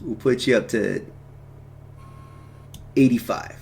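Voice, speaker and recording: A man's voice: medium pitch, slow, vocal fry, a little mellow, a little hiss or hum. A man hosting a film watch-along stream, talking in a casual, jokey, informal way. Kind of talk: casual chat and film commentary.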